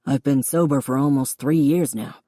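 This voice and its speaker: Older father, read by a woman, remorseful, reedy, soft, dry close sound. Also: extremely low pitch, fairly even intonation, normal speed, no room sound. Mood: pleading, tender. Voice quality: reedy, quiet.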